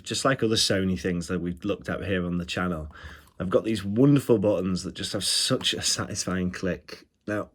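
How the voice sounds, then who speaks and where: A man with a fairly low voice presents a casual, hands-on review. He talks like a host to his viewers, chatty and keen on the little details. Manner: chatty, enthusiastic about details. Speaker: retro electronics review host.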